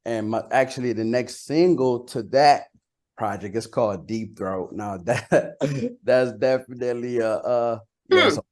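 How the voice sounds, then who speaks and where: A man from Costa Rica who grew up speaking Spanish talks in a relaxed interview as a rapper. His pitch sits in the middle for a man. He uses slang freely and repeats words to drive a point home. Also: a little slow. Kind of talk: casual interview chat.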